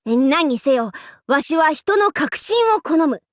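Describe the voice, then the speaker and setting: Proud anime game warlord, high voice, pompous archaic lordly speech, playful swagger, dry close sound. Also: female voice, expressive, slow, no echo. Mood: haughty, musing. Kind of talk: anime game character voice lines.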